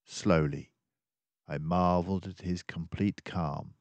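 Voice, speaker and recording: An older man reading a children's book aloud in an expressive narrating style. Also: low-pitched, slow pace, no echo, muffled recording. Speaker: storybook reader.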